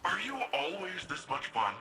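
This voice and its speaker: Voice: medium pitch, sing-song, normal speed, very noisy, close to an everyday voice. An actor playing an upbeat, wisecracking young cyborg protector in a video game, with a breezy, informal manner. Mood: playful, reassuring. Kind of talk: video game radio dialogue. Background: music.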